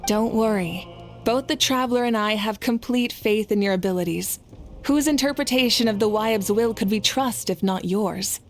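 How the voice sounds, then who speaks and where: A woman playing a confident, warm-hearted warrior leader in a video game, with an assured and reassuring manner. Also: mid-range voice, normal speed, close to an everyday voice. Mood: calm, serious. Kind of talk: video game character acting. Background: background music.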